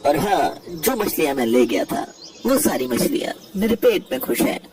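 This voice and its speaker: A man voicing a sly, deceitful old heron in an animated animal fable, recorded from a distance with noise behind him. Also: fairly high pitch, moderate pace. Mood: gloating. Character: sly old heron, falsely tearful and gloating. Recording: distant voice with clear background noise.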